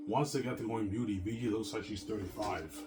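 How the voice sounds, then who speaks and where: A man's voice: lowish voice, steady tone, brisk, over music. A man in his thirties rambling to his viewers in a self-assured, repetitive way, often restarting his sentences. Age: 30s. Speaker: vlogger.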